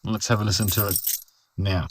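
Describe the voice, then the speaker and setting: A man's voice: lowish voice, steady tone, normal speed, background noise. An Australian man giving a casual, conversational product review and explaining things as he goes. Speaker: host of a product review channel.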